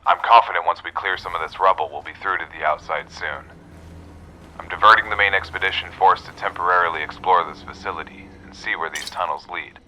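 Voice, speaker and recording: A man's voice: low voice, brisk, bright, forceful tone, slight background noise, poor audio. A man playing a confident, dutiful military officer dictating a formal field log in a video game. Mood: measured, resolute.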